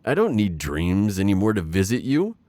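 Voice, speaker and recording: A man playing a gloating, lovestruck dark warlord in an intimate roleplay audio, with theatrical, playful authority and lingering pauses. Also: fairly low pitch, wildly swooping pitch, no room sound. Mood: puzzled, probing.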